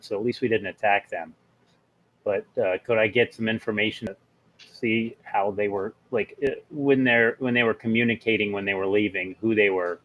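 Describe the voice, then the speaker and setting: A man's voice: lowish voice, a bit bright, dull audio. A man talking casually as a player in a tabletop role-playing game, discussing and asking questions.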